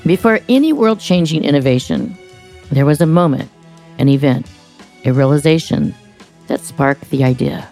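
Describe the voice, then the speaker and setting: A woman hosting a podcast, reading a scripted introduction in a measured, announcer-like way and interviewing a guest. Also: low-pitched, lively intonation, dark tone, soft background music. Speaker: podcast host.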